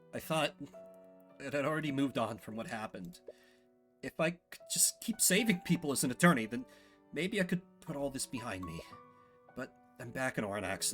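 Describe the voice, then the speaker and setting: A man voicing a haunted, earnest young male attorney in a visual novel, halting and apologetic. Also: mid-range voice, fairly bright tone, lightly acted. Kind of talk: visual novel character dialogue.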